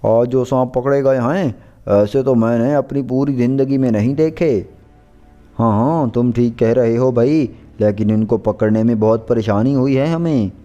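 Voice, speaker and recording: A man playing a mercenary, boastful snake poacher in an animated story, speaking conversationally. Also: mid-range voice, moderate pace, lo-fi sound, faint music behind, natural voice. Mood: puzzled, boasting. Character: mercenary male snake poachers, gruffly dismissive.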